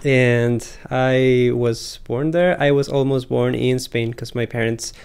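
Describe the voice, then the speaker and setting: A man's voice: medium pitch, fairly even intonation, slow pace, creaky, darkish tone, clear, resonant tone. A young man from Costa Rica, an artist chatting as a guest in a laid-back, hesitant way. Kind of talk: casual interview chat.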